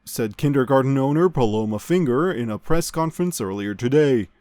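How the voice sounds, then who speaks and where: A man's voice: mid-range voice, expressive, brisk, darkish tone, faint music behind, lightly acted. A man playing an upbeat amusement news show host, reading scripted comedy news with showy announcer polish and a straight face. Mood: upbeat, deadpan.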